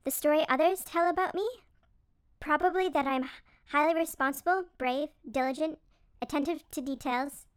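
A high, dry-sounding voice for a storybook beaver leader played by a woman. She is strict and self-critical, a female boss worn down by worry. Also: normal speed, no echo, lightly acted. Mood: puzzled. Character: stern, stressed female beaver team leader. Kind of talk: acted storybook character dialogue.

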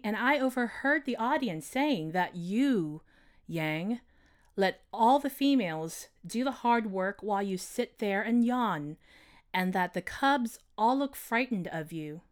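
A man doing a high, wise female owl. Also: smooth. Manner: soft, questioning, unhurried. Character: calm wise female owl, probing and gently teasing.

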